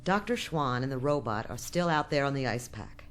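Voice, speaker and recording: A woman playing a shrewd, bossy outpost chief with a brisk, guarded, commanding manner in a radio drama. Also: a bass voice, animated, a little hiss or hum. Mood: tense, secretive.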